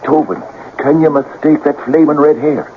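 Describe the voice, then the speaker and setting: An actor in a 1970s radio drama playing an excitable, cajoling sidekick who believes in fate, with a theatrical delivery. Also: male voice, on the high side, moderate pace, lightly acted. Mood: excited, hushed. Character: excitable, coaxing, fate-believing male sidekick, a wily talker. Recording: dull, noisy old radio broadcast recording.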